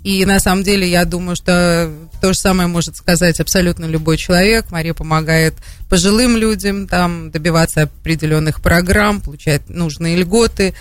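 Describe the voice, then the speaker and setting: A woman's voice: on the low side, steady tone, normal speed, no room sound. A woman co-hosting a radio talk show and introducing a guest with praise. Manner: warm, admiring introduction. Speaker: radio co-host. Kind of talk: radio conversation.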